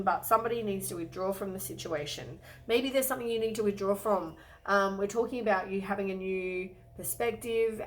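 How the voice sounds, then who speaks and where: A woman talks through a weekly tarot reading in a loose, rambling way, filling pauses with ums. Her voice is croaky from a cold. She sits in a middling pitch for a woman. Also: slight background noise, poor audio. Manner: relaxed, rambling, many ums and hedges. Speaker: tarot reader.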